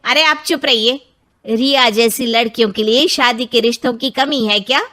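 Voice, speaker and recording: Woman playing proud village matriarch, cartoon story, high pitch, emphatic, insistent. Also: moderate pace, sharp tone. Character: bossy, proud middle-aged village mother-in-law, doting on bride.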